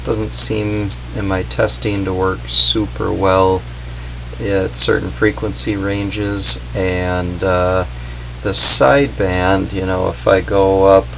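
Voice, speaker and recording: Low, creaky-voiced man, casual and hesitant. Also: fairly even intonation, takes it slowly, pushed and bright. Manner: hesitant, meandering, noncommittal. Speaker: product reviewer. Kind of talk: casual unscripted gadget review. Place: indoors in a basement, at a workbench. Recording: dull audio, faint background hum.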